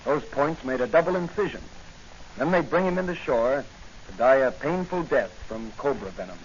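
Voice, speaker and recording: An actor playing a bold, stern masked police hero in a 1940s radio drama, commanding and righteous, on a muffled, noisy old recording. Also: male voice, mid-range voice, animated, moderate pace, close to an everyday voice. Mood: calm, explaining.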